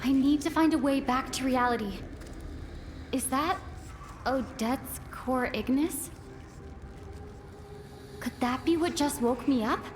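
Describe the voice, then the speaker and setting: A woman's voice: high-pitched, slow, pauses often, a little hiss or hum. A woman playing a determined, caring young heroine in a video game, anxious and earnestly thinking aloud to herself. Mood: puzzled. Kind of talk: video game cutscene voice acting. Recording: loud music behind the voice. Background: loud game music.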